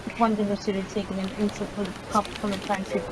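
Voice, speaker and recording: A woman gamer reading a visual novel's text aloud with casual asides, in a halting way that often repeats words. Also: mid-range voice, little pitch movement, over a noisy crowd, low-quality recording. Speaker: gameplay video maker. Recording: loud music behind the voice. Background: game soundtrack with piano.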